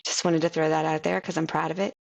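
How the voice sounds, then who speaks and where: Chatty Southern woman with a gravelly low voice. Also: flat delivery, fast, darkish tone. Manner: chatty, laughs easily, playful. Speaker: guest, software support manager. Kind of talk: casual video-call chat. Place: corner of a child's bedroom at home.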